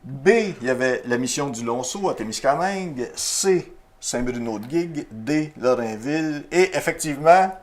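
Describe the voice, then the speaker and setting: A man's voice: mid-range voice, expressive, normal speed. Man, Québécois accent, game show host, chatty, self-deprecating, middle-aged. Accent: Québécois French. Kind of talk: casual game show hosting.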